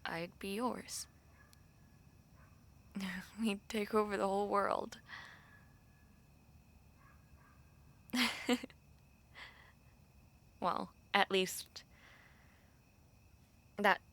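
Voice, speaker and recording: A woman's voice: medium pitch, gravelly, fairly bright tone, exaggerated voice. A young woman playing a thoughtful, romantic university student who confides hesitantly in a friend, in an intimate, conversational audio roleplay. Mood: soft, tender.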